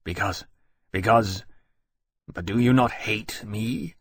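A man's voice: on the low side, takes it slowly, a bit bright, no room sound, studio quality. A man voicing a young male dragon who speaks bluntly and defiantly. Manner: earnest, blunt.

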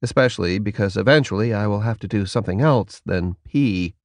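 A man voicing a dry, defiant captive female soldier in an audiobook, with a deadpan, sardonic manner. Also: lowish voice, brisk, no echo, close to an everyday voice. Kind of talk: audiobook character dialogue.

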